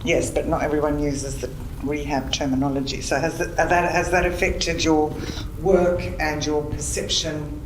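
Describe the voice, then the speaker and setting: A woman's voice: low voice, flat delivery, normal speed. A woman from Africa, an anthropologist, putting direct, probing questions to a panel from the audience. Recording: roomy and noisy gallery room.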